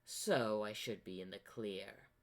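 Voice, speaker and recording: A man's voice: on the low side, slow, fairly bright tone. A man voicing an ancient corrupted zebra spirit from a fan-made fantasy cartoon, smug and sly, with a theatrical, teasing drawl. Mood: amused, musing.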